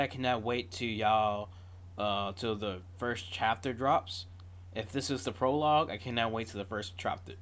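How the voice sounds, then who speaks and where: A man's voice: mid-range voice, fairly bright tone. Man rambling through a casual video reaction. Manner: rambling, digressive storytelling. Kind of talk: casual off-the-cuff reaction commentary.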